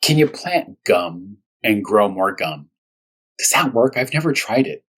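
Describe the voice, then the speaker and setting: A man speaking as a first grade teacher at storytime, talking to young children in simple, short, repeated phrases, with a husky voice. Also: lowish voice, big pitch swings, a little slow, fairly bright tone. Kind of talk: storytime read-aloud and discussion for young children.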